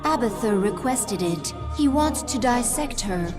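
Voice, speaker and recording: An actress playing a calm, dutiful female alien advisor giving briefings. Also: a little high. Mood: measured. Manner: measured briefing delivery. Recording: game cinematic with music.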